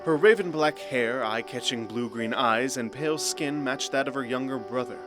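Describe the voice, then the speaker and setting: A man's voice: mid-range voice, normal speed. A man narrating a scripted fantasy story. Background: faint background music.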